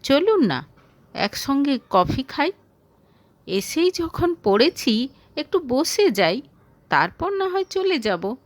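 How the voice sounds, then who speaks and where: A woman's voice: high-pitched, big pitch swings, takes it slowly, pauses often, no echo, voice pushed up, exaggerated voice. A woman voicing a friendly, courteous and persuasive young man in an audio story. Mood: coaxing.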